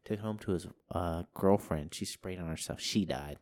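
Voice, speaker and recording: A man's voice: low-pitched, normal speed, a little mellow, slight background noise. A man hosting a casual podcast, rambling and crude, joking with friends and using lots of fillers. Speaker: podcast host. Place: home studio.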